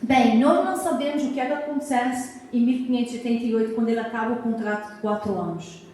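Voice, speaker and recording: American woman historian, Portuguese accent, English her first language, chatty public lecture, dark mellow voice, recorded far off in a very echoey hall. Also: fairly high pitch, fast talker. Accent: Portuguese (Azorean family), American English. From United States, Massachusetts. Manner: warm, chatty, asks the audience questions, frequent "tá?" check-ins. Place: hall of a cultural society.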